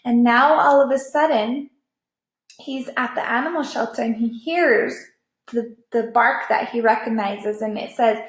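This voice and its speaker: A woman's voice: a little high, steady tone, measured pace. A woman teacher reading a children's book aloud expressively, explaining it and checking understanding as she goes. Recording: echoey room.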